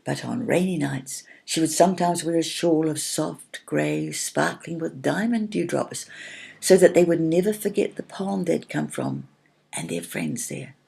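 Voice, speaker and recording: A woman playing a kindly, cheerful storybook character who tells tales in a gentle, reassuring way. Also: low-pitched, animated, unhurried, gravelly, slightly rough, heavily acted. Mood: gentle, wistful.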